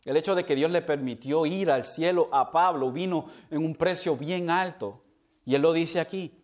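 Male pastor, lively sermon delivery, mixes in English, laughs off his own slips. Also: fairly high pitch, moderate pace. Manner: animated preaching, mixes in English words; stumbles over words and laughs at himself.

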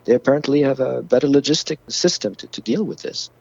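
A man with a baritone voice, calling in by phone to casually discuss the news as co-host. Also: fast talker, vocal fry, a little mellow, husky, no echo. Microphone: phone line. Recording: dull phone line audio.

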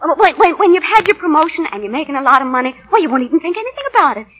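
An actress playing a devoted, encouraging young wife who can be firm, in 1940s radio drama style. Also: female voice, sits high, very animated, very fast, fairly bright tone. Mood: tender, reassuring. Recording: muffled 1940 radio broadcast.

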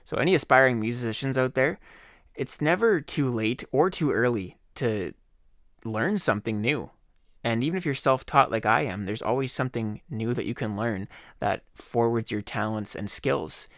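Man, hoarse, podcast host, casual rambling talk, dry sound. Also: mid-range voice, lively intonation, moderate pace, fairly bright tone, no room sound. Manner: rambling, conversational, with fillers.

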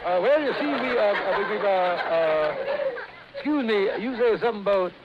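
A high-pitched, evasive schemer from an old radio comedy, stammering and folksy. His grammar is loose and his manner flustered, on a dull recording thick with hiss and clicks. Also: expressive, moderate pace, a crowd in the background. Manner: stammering, folksy, ungrammatical talk.

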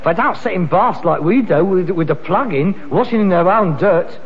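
An exasperated London son in a sitcom, scolding and sarcastic. His voice sits high. The old recording is dull, with long reverb and faint noise. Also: animated, normal speed. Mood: exasperated, pleading. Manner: scolding, sarcastic, working-class grammar. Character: exasperated, nagging grown son with frustrated ambitions. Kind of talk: British sitcom acting before an audience.

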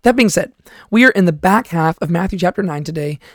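A tired, hoarse man hosting a Bible study podcast, speaking softly into a close microphone and often asking "right?". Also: sits high, animated, brisk, no room sound. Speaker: podcast host and Bible teacher. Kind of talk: casual teaching talk.

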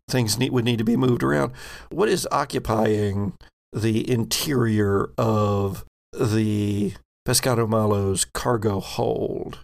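Man, gravelly creaky voice, mid pitch, descriptive game master, improvised sci-fi narration, prompting players.

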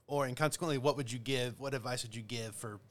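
A man hosting a nursing podcast, asking measured, multi-part questions. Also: mid-range voice. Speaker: podcast host.

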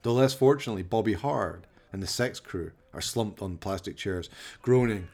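Gravelly male radio play narrator. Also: lowish voice, moderate pace, a little mellow. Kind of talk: dramatic scripted storytelling, present tense.

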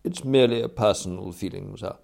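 A man voicing a deferential, unflappable English valet in an audiobook, formal, measured and courteous. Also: on the low side, vocal fry, a little mellow. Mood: deferential, composed. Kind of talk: acted audiobook dialogue.

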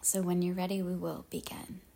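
A woman leading a guided meditation in measured, solemn phrases with pauses, her husky voice trailing into breathiness. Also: fairly low pitch, little pitch movement, mellow.

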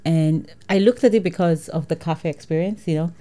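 A woman's voice: lowish voice, moderate pace, dark tone. A woman with a Nigerian accent, an entrepreneur telling her story in a casual interview, acting out dialogue and laughing often. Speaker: entrepreneur guest.

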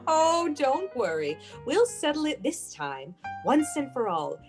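A woman's voice: sits high, wildly swooping pitch, slow pace, soft background music, exaggerated voice. A woman playing a lovesick, anxious, clinging young woman with a hesitant, fervent manner in a classic radio suspense play. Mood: dreamy, devoted. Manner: hesitant, repeating words, fervent.

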